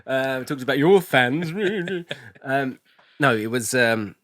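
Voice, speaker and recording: A man hosting a podcast from a sauna house, asking questions in a relaxed way, laughing easily and repeating words as he starts. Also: medium pitch, takes it slowly, no room sound, studio quality. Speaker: podcast host. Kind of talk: casual interview chat.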